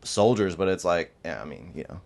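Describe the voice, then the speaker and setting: Deep-voiced young man telling stories. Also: a little fast, gravelly. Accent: light Southern American. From Iraq, raised in Georgia, USA. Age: 20s. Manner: rambling, many "like" fillers, laughs often. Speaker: podcast guest. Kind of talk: casual podcast storytelling.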